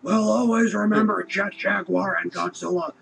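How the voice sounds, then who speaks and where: A man's voice: high-pitched, fairly even intonation, moderate pace, gravelly, a bit bright, slightly rough, faint music behind, exaggerated voice. A man voicing a melodramatic, foul-mouthed toy monster in a comic puppet parody. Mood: mock mourning. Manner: melodramatic, sweary.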